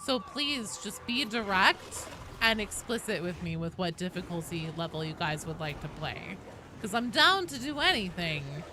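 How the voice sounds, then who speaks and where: A woman live streaming a game, chatting casually and excitably with her viewers and swearing freely, with game sound behind her. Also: lowish voice, normal speed, background noise. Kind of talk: casual live stream chat with gameplay reactions.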